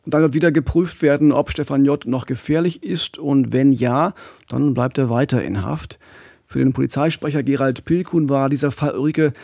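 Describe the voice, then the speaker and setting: Male true-crime co-host, forties, husky and creaky, dry studio sound. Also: mid-range voice, normal speed, dark tone, no echo. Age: 40s. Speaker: podcast co-host. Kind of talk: true-crime podcast narration. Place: small radio studio. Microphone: close studio microphone with pop filter.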